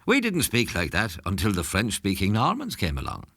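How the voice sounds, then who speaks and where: A man's voice: on the low side, huge pitch swings, normal speed, background noise. A man with an Irish accent, a monk acting as a heritage tour guide, telling local history as scripted narration with wry humorous asides. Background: background music.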